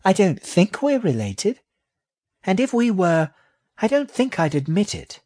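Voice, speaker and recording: A tiny, feisty baby girl rat from a storybook, put on by a man, pitched far up and thin. The delivery is pert, proud and inquisitive, with a swooping childlike lilt. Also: very animated, a little slow, darkish tone, exaggerated voice. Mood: indignant, sulky. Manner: pert, indignant, questioning. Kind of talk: children's audiobook character.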